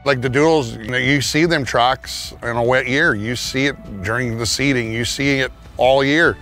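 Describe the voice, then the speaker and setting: Farmer guy in his 40s, plain talk outside, soft music under it. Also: medium pitch, moderate pace. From Canada. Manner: plain-spoken, casual, with restarts. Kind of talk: testimonial interview. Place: outdoors on a farm yard.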